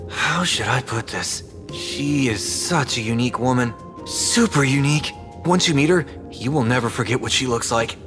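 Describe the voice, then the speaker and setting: A man's voice: medium pitch, animated, moderate pace, over music, lightly acted. A man playing an impatient, sarcastic young student in an anime-style game, complaining in an exasperated manner. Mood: impatient, dry.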